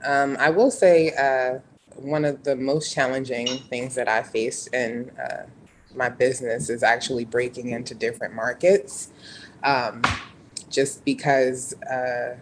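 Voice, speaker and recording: An attendee of an online meeting who talks about their business in measured, slightly hesitant speech over a video call. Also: mid-range voice, steady tone, a little hiss or hum, rough recording. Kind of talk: casual online meeting talk.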